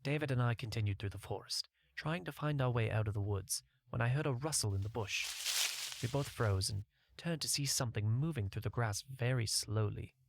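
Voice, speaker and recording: A man's voice: medium pitch, brisk, vocal fry, no echo. A man narrating a scripted first-person fantasy short story as an audiobook reader.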